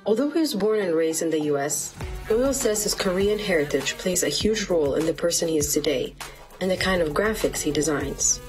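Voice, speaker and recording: A woman narrates in a polished documentary style, her voice sitting a little low. Soft music plays faintly beneath her. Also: fairly quick, rough recording. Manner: even, polished voice-over delivery.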